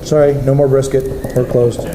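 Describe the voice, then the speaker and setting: A man's voice: medium pitch, flat delivery, normal speed. Man explaining things, roomy echo. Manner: agreeable, explains and urges listeners. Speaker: gun range show co-host. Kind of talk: casual chat. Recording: echoey room with faint noise.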